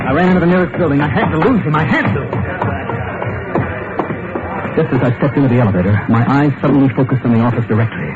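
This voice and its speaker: A tinny old radio recording with loud music under the voice. An actor plays a frantic, hunted husband with a mid-range voice, urgent and melodramatic, as in a vintage mystery drama. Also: male voice, medium pitch, animated, fast talker, long pauses, natural voice. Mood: tense, hunted. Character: frantic, hunted husband fearing he will be killed. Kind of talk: 1940s-50s radio drama acting, first-person narration and dialogue.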